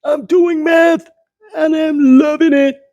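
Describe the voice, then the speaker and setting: A man voicing an excitable young cartoon cat schoolboy in an emphatic, squeaky falsetto for a storybook. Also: takes it slowly, no room sound, dull audio. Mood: amazed, delighted.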